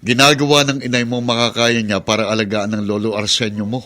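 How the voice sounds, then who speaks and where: An actor playing a concerned, exasperated grown son and uncle in a radio drama. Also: male voice, medium pitch, little pitch movement, brisk, pushed and bright, close to an everyday voice.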